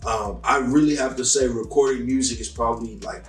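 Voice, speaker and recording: A man's voice: medium pitch, fairly quick. A young man answers interview questions in a loose, rambling way, filling every gap with "um" and "like". He sits a little back from the mic, so his voice sounds distant in an echoey room. Faint music plays under him. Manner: laid-back, rambling, lots of "um" and "like".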